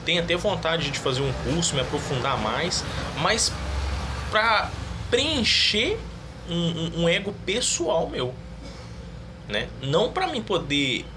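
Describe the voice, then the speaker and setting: Homem falando com ruído de trânsito. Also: male voice, a little high, noisy background. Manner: earnest, reads Bible verses aloud. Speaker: religious video creator. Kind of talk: casual opinion monologue.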